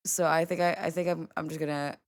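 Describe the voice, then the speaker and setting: Low-voiced woman streamer, casual chat. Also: flat delivery, fast talker, no room sound, clean studio sound. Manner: dramatic, swears, laughs, mopes.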